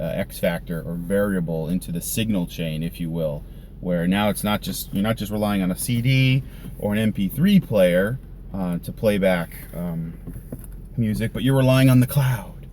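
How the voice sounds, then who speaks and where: A man giving a casual, unscripted vlog explanation in a halting, conversational way, thinking aloud as he goes. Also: fairly low pitch, dark tone, a little hiss or hum. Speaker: volunteer church live sound tech, vlogger.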